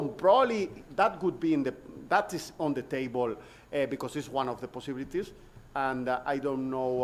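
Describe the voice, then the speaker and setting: A man's voice: on the high side, a little mellow. A man with a Spanish accent speaking at a university seminar panel, hesitant, with many fillers and restarts. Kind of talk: academic panel discussion.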